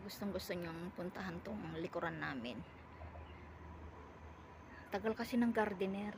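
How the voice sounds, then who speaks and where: Slow-talking Filipino woman over background noise. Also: mid-range voice. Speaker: vlogger filming pets and wildlife at home. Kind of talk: casual chat to camera. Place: outdoors in a yard. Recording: clear background noise, outdoor ambience.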